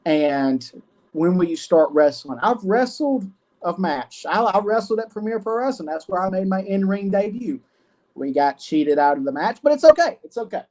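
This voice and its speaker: A man's voice: high-pitched, expressive, normal speed, darkish tone. A man in his twenties, a wrestling guest answering earnestly and often repeating himself. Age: 20s. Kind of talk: casual interview.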